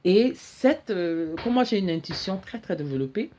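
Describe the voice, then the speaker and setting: Halting woman hosting a show. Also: mid-range voice, lively intonation, mellow, slight background noise. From Cameroon. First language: English. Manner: halting, repeats words while searching for them. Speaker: talk show host. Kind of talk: solo monologue to camera.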